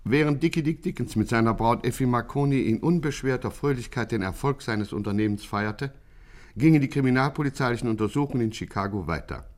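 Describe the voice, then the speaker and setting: Male narrator, medium pitch. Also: brisk. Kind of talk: wry scripted radio play narration.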